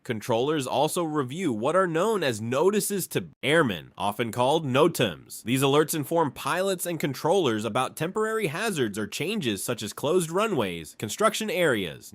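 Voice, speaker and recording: A man reading scripted explainer narration in a measured, clearly phrased way. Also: mid-range voice, very animated, dry recording.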